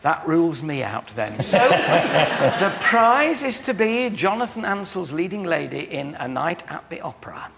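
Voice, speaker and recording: A man's voice: on the high side, very animated, a little slow, creaky, few pauses, background noise. A man hosting a TV studio chat show, introducing contestants with teasing banter. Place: large TV studio with audience. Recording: reverberant studio with audience.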